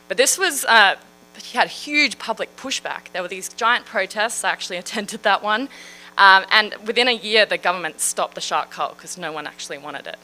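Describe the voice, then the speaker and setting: An Australian woman in her thirties gives a public science talk. Her voice is bright and forceful. She speaks in a friendly, chatty way and often fills pauses with "um" and "uh". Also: mid-range voice, poor audio. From Western Australia. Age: 30s. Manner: friendly, chatty, with frequent fillers. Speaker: marine scientist.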